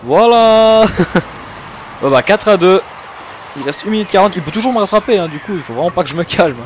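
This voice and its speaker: A young man from Switzerland, commentating his own gameplay excitedly and laughing often. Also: high voice, a little fast, a little hiss or hum. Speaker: gaming YouTuber.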